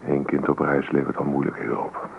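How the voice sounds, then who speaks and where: An actor playing a stubborn, sardonic young husband in a naturalistic radio drama, gruff and dismissive. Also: male voice, a bass voice, monotone, a little fast. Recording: old radio play, phone-like muffled sound, noisy.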